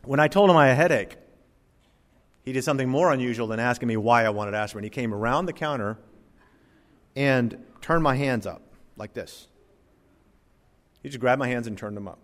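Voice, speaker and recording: A man's voice: fairly quick, pauses often. Middle-aged man preaching in a reverberant church. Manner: dynamic preaching, swinging from loud emphasis to quiet, low asides. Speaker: pastor. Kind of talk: sermon. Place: church sanctuary.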